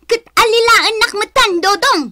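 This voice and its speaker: A woman's voice: very high voice, moderate pace, pushed and bright, no room sound, studio quality. A woman playing a brawny, quarrelsome Filipino wife in a radio drama, confrontational and bullying. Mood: challenging. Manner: challenging, confrontational. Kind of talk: Ilocano radio drama acting.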